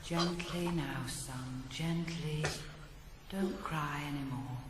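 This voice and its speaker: A heavily reverberant, low female voice amid loud noise: a ghostly mother in a radio play. She speaks softly and soothingly, with gentle care for her son. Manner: slow, soothing, hushed. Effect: heavy echo. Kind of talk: 1980s radio drama acting. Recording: long reverb, very noisy archive recording.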